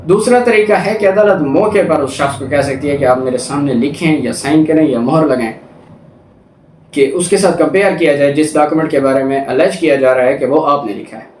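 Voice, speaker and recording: A man's voice: a little high, brisk, darkish tone, slight background noise. A man with a Pakistani accent giving a law lecture, reading out clauses and explaining them didactically with questions he answers himself. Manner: didactic, poses questions and answers them, code-switches into English. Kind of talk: lecture explaining legal articles, reading clauses then paraphrasing. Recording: echoey room.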